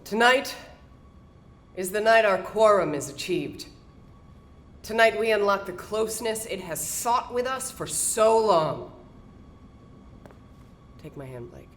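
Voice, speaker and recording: A woman playing a calm, insistent cult leader in a scripted audio drama, speaking slowly and reverently. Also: mid-range voice, slight background noise. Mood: fervent. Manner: slow, hushed, beckoning, reverent. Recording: music under the voice.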